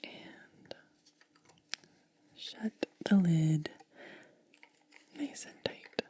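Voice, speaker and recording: A woman whispering softly and gently in an unhurried ASMR style, close to the microphone, pausing between short phrases. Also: lowish voice. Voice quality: breathy, mostly unvoiced whisper. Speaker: ASMR host. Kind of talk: ASMR unboxing, hushed and soft-spoken.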